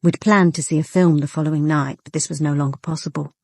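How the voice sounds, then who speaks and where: A young English policewoman telling her own story in a radio drama. Her voice is very low and creaky, close and dry. She sounds guarded and tired, confiding rather than announcing. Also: fairly even intonation, quick pace, darkish tone, dry recording. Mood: reflective, guarded. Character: young female police inspector narrating her private life. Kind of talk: first-person radio drama narration.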